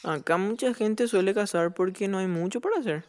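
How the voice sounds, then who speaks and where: A woman playing a timid, excitable, kind ten-year-old boy with a Paraguayan accent and hesitant speech in an audio drama. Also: medium pitch, a little fast, natural voice. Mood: awed, subdued. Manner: hesitant, stammering starts, expressive.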